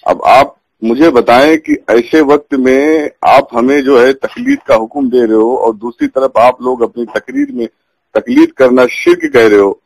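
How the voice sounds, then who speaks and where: A man's voice: medium pitch, lively intonation, unhurried, no room sound. A man calling by phone to question a religious scholar, pressing his points in a formally polite but challenging way. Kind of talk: phone conversation, religious debate. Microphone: telephone. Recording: phone call recording.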